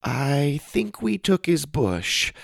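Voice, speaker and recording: A man voicing a brisk, practical young girl in a raised voice. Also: on the high side, big pitch swings, vocal fry, no room sound, studio quality, pitched above the performer's own voice, full character voice. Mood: bossy.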